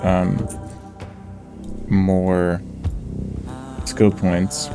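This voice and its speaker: Male let's play host: relaxed, dark tone, casual musing delivery, faint music behind. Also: fairly low pitch. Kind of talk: casual game commentary, thinking aloud. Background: game music.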